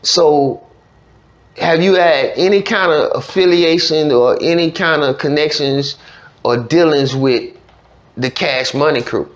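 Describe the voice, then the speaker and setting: A man with a Louisiana accent hosting a casual interview, slangy and quick to echo answers, in a dull studio recording. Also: fairly high pitch. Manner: slangy, echoes answers with short affirmations. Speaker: podcast host interviewer. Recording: dull, muffled studio sound.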